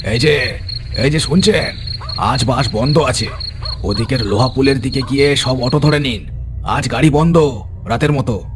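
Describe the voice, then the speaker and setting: A man's voice: mid-range voice, a little slow, pauses often, noisy background, close to an everyday voice. An actor playing a talkative, well-meaning older village man who admonishes and exclaims, in an audio story. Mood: helpful, calling out.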